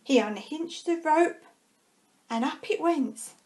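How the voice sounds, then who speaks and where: High-pitched woman reading a children's storybook slowly. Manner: expressive, dramatic pauses and drawn-out words for young listeners. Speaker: primary school staff member reading a storybook. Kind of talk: children's storybook read-aloud.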